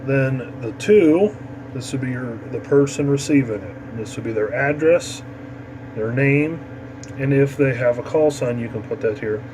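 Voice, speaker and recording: A man with a medium-pitched voice gives an informal tutorial. He explains things step by step in a casual, halting way, as an instructor would. A clearly audible steady noise runs behind his voice. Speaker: amateur radio instructor. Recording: noisy, steady background hum.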